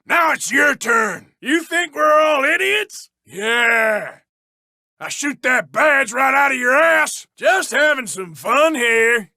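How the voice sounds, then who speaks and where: An actor playing a sneering, violent outlaw in a video game, shouting gravelly threats and insults at lawmen. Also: male voice, very high-pitched, no room sound, clean studio sound, heavily acted. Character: taunting violent outlaw man fighting lawmen. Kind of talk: video game combat barks.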